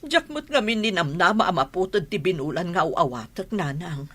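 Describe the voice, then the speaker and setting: Casting: middle-aged Ilocano mother, sharp yet raspy, reproachful and worn down, radio serial. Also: on the low side, lively intonation, moderate pace. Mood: distressed, pleading. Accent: Filipino, Ilocano. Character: reproachful, hard-pressed mother, tired and grumbling. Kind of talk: radio drama acting.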